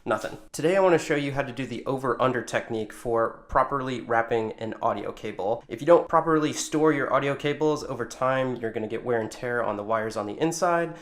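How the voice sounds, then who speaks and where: Man, tutorial presenter, casual instructional style, informal step-by-step explanations, mid-pitched voice. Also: brisk, hardly any pauses. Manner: informal, talks through each hand movement step by step. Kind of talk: casual hands-on instructional demonstration.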